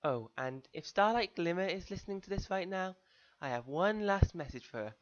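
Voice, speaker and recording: A man tells a dramatic story in the first person. His voice sits a little high for a man, and he delivers it earnestly, as if confiding in an audience. The recording is somewhat dull and lo-fi. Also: animated, moderate pace. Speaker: fan fiction reader.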